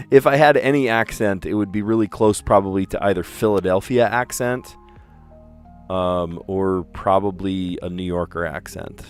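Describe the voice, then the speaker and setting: A lowish-voiced man with a general American accent talks his way through word puzzles on a livestream, musing aloud in a relaxed, chatty way. His diction is deliberate and careful, with the odd filler as he thinks. Faint music plays behind him. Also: clean tone. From US East Coast. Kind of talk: casual thinking aloud while solving puzzles.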